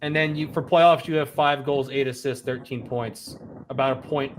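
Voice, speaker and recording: A man's voice: medium pitch, fairly even intonation, moderate pace, background noise. Rambling host in his thirties. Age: 30s. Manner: rambling, many restarts, reads out stats. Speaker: podcast host. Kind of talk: casual interview chat.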